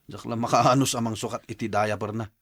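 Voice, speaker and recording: A man's voice: on the low side, steady tone, moderate pace, dry recording, studio quality. A man playing an easygoing, pleasure-seeking husband who talks casually and coaxingly in a radio drama.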